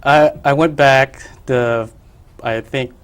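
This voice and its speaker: A young man from the Philippines, a guest on a local TV studio interview. He speaks at a middle pitch, pausing often, restarting his sentences and leaning on fillers as he searches for words. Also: steady tone, fairly bright tone, smooth. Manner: hesitant, many fillers and restarts. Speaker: artist guest. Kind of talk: casual interview.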